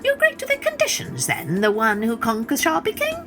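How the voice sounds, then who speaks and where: A woman doing a squeaky, quick, cocky little gnat. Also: huge pitch swings, music in the background. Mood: taunting. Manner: quick, high and mocking. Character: tiny boastful male gnat, cheeky and proud. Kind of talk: storybook reading.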